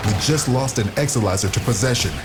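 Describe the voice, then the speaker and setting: An actor voicing a grave, mournful man who guides a fighter through a video game mission in solemn, measured, formal words. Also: mid-range voice, close to an everyday voice. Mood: urgent, commanding. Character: grave, mournful man guiding a fighter aboard a haunted ship. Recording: game music loud behind the voice. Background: loud game music.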